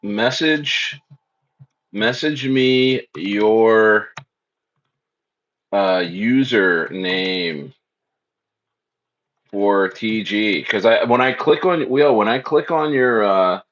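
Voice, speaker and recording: A man's voice: fairly low pitch, extremely slow, pauses often, a bit bright, lo-fi sound. A man hosting a casual crypto livestream, speaking loosely and repeating phrases as he reads the chat.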